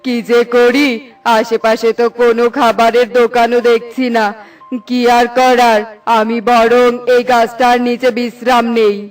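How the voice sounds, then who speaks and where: A man's voice: very high-pitched, little pitch movement, unhurried, smooth, faint music behind. A man voicing a wandering mystic in a children's cartoon, speaking in a declamatory, benevolent way. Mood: weary, puzzled. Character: wandering, grateful male mystic with magic powers.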